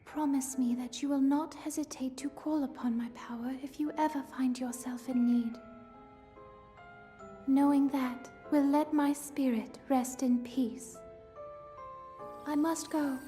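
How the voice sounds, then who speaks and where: A woman playing a gentle young princess spirit in a video game, speaking softly and earnestly with tender devotion. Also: high-pitched. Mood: tender, resolute. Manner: soft, breathy, earnest, measured delivery. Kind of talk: dramatic video game voice acting. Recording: music behind the voice.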